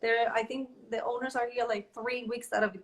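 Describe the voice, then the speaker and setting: A woman from Colombia, a guest business owner, talking earnestly in a casual interview with fillers and pauses. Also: a little high, flat delivery, crowd noise.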